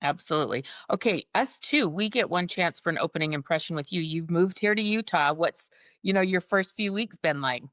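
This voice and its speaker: A woman's voice: fairly low pitch, animated, a little fast, a bit bright, no echo. A woman hosting an interview in a casual, conversational style. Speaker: interview host.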